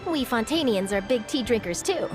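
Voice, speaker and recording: Woman acting a keen polite young reporter girl in a game, high animated voice, music behind. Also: moderate pace, lightly acted. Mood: eager, persuasive. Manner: courteous, enthusiastic.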